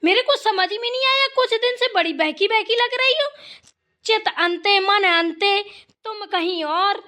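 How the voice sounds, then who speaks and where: A woman's voice: very high voice, lively intonation, unhurried, bright, forceful tone, heavily acted. A woman voicing a scolding, short-tempered village mother-in-law in a Hindi family cartoon, nagging and sarcastic. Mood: angry scolding.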